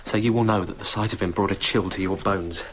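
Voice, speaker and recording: An actor playing a frightened, loyal servant in a radio drama, speaking with deferential, hushed urgency. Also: male voice, fairly low pitch, fairly even intonation, brisk, lightly acted. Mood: fearful.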